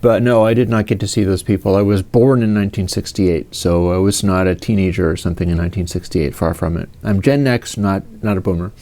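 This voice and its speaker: A man's voice: low-pitched, steady tone, a little fast, hardly any pauses, warm tone. Rambling man in his fifties. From United States. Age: 50s. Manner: rambling, digressive, with many fillers and restarts. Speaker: host answering viewer questions. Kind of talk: casual rambling livestream Q&A.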